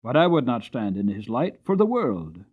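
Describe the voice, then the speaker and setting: A man's voice: medium pitch, very animated, moderate pace, slightly rough, narrow, tinny audio. A man voicing a loyal, plain-spoken Victorian coachman in an audiobook, earnest, emphatic and respectful. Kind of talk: dramatic audiobook character reading.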